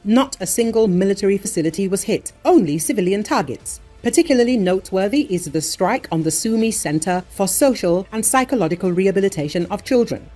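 A woman reading scripted news in a measured, formal voice-over. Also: medium pitch, lively intonation, warm tone, faint music behind. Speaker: news narrator.

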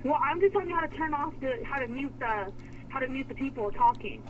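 A woman working as a store clerk, patiently and haltingly explaining things on a customer service phone call. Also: high voice, animated. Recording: dull, noisy telephone line.

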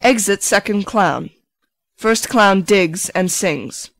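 Slow-paced woman narrator. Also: mid-range voice, expressive. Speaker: narrator reading stage directions. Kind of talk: scripted narration.

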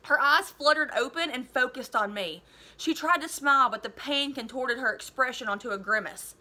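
Bright, high-voiced woman reading aloud. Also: moderate pace. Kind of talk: expressive read-aloud of a children's novel. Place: living room at home.

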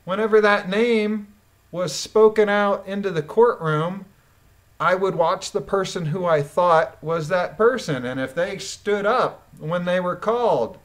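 A woman's voice: fairly low pitch, measured pace. A woman gives critical commentary as she reads through a document. She speaks bluntly and with emphasis, sounding indignant about what she is presenting. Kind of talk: reading a court transcript aloud with critical commentary.

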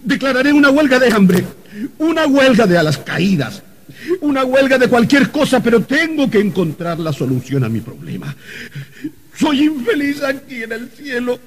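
High-pitched, aggrieved complainer. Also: male voice, huge pitch swings, moderate pace, breathy edge, exaggerated voice. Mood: indignant, wailing. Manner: insistent, theatrical complaining. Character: aggrieved, whining newly dead man protesting in heaven. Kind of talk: Spanish-language radio drama acting.

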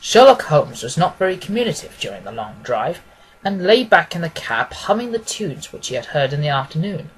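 High-pitched, husky man reading a story. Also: sing-song, brisk, poor audio. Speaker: audiobook reader. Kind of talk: scripted story narration.

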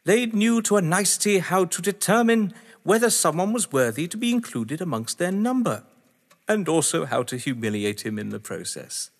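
A man's voice: sits high, very animated, moderate pace, heavily acted. A man acting in an audio drama as a witty, haughty husband under questioning, verbose, sardonic and theatrical. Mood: smug. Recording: echoey hall-like reverb.

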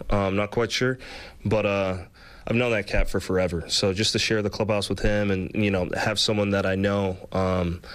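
A man in his thirties answers reporters after a game from a press-room table mic. His voice sits somewhat low and he talks in an easygoing, reflective way, padding his thoughts with fillers. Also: clear, resonant tone. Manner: relaxed, many fillers, thoughtful. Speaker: baseball player. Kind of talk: postgame press conference. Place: press room with team backdrop.

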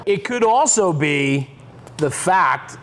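A man's voice: on the high side, expressive, takes it slowly, a bit bright. A middle-aged man in casual comedic banter, teasing and questioning with mock seriousness as he co-hosts. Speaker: co-host reading viewer comments. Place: indoor garage workshop. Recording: clear background noise in a workshop.

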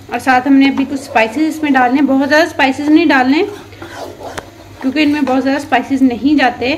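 A woman's voice: sits high, a little hiss or hum, poor audio. A woman narrating a home cooking recipe as a channel host, instructive and sprinkling in religious blessings. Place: home kitchen.

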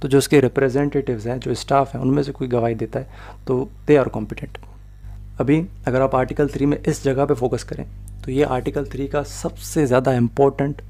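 Male law tutor, Pakistani Urdu accent, flat, dark, mellow delivery; lecture over slides. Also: mid-range voice, creaky. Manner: mixes Urdu-inflected Hindi with English legal terms, steady explaining.

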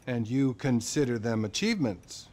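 An actor playing a smug, detached middle-aged therapist in a video game, measured and probing. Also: male voice, medium pitch, animated, darkish tone, lightly acted. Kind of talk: video game cutscene dialogue.

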